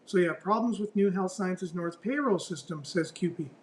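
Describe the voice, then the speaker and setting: A man hosts a casual morning news talk show, close to his studio mic. His voice sits high and carries loudly as he rambles through the headlines and throws rhetorical questions at his co-host. A faint low rumble runs underneath. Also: moderate pace. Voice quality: loud voice. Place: radio studio.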